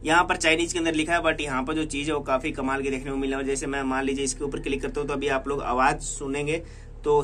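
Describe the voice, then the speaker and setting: A man's voice: a little high, a bit bright, a little hiss or hum. A man with an Indian accent narrating a casual hands-on tech tutorial, explaining repetitively and mixing in English words. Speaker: tech vlogger demonstrating a phone feature.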